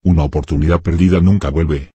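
A man reading short phrases for learners, slow and deliberate, one phrase at a time. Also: very deep voice, steady tone, dark tone, breathy edge, no echo. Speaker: phrase reader.

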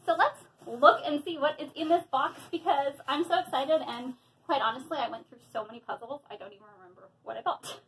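A woman in her thirties chatting casually and excitedly while unboxing things in a small room at home, with frequent fillers. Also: high voice, fairly quick, slight background noise, poor audio. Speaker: hobby vlogger.

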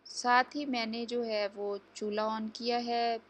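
A woman's voice: a little high, little pitch movement, takes it slowly. A woman hosting a home cooking tutorial, explaining each step to viewers in a chatty, instructive way. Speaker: cooking channel host. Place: home kitchen.

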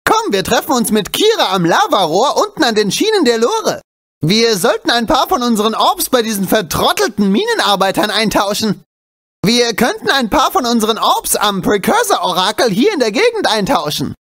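A man voicing a small, wisecracking, excitable animal sidekick in a video game, with an urgent, cheeky, exclamatory delivery. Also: very high voice, moderate pace, heavily acted. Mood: chatty, cheeky.

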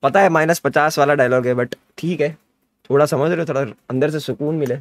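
Young Indian man, high creaky voice, gaming streamer, casual excited chat, repetitive slang. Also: brisk, no room sound. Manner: repetitive, animated slangy hype. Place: indoor streaming setup at a desk.

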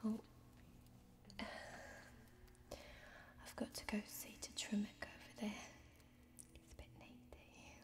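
A young woman playing a friendly, homely fantasy tavern barmaid in soft-spoken, whispery ASMR role-play, close to the microphone. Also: moderate pace, close to an everyday voice. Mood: soft, attentive. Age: young adult.

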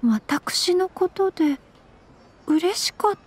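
A high, soft young lady of noble birth for an anime game. She is earnest, shy and impeccably polite, speaking formally over faint background music. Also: lively intonation, slow, exaggerated voice. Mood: shy, anxious. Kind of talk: Japanese anime game voice acting.

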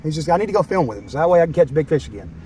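A young man vlogging while fishing outdoors by the water, excitable and quick to laugh. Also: a little high, a little hiss or hum. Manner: excitable, shouting and laughing at catches.

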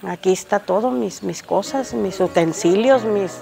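An older woman with a Mexican Spanish accent, answering a reporter's questions outdoors on the street in front of her home. She speaks in a hesitant, halting way with frequent restarts. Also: medium pitch, vocal fry, dark tone. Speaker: interviewed resident. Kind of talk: street interview. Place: outdoors on a street by a house gate.

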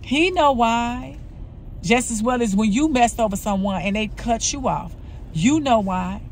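A woman's voice: fairly high pitch, unhurried, slight background noise. A woman in her forties talking candidly to camera in a personal vlog, earnest and confessional, often repeating herself. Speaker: vlogger.

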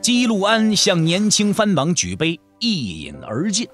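A man narrates a wuxia tale in a fairly high voice that moves widely in pitch. Music plays under his scripted storytelling. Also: huge pitch swings, measured pace, a bit bright. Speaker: narrator of an audio drama.

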